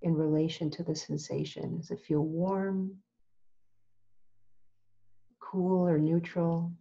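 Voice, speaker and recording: Female meditation guide, lowish soft-edged voice, very unhurried and calm, slightly dull lo-fi recording. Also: creaky. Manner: calm, gentle, measured with long pauses. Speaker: meditation teacher.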